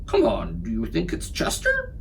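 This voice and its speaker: A squeaky, pestering little storybook man. The voice jumps far above a normal male pitch and swoops around as he wheedles and shrieks. It is an over-the-top picture-book character. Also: wildly swooping pitch, normal speed, gravelly, fairly bright tone, a little hiss or hum, heavily acted.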